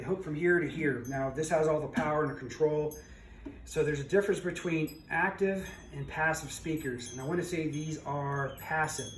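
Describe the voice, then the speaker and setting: A middle-aged man giving a casual, unscripted walkthrough of a project as a vlogger, rambling along in a folksy way. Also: fairly high pitch. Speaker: vlogger reselling auction finds. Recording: roomy, distant mic, background noise.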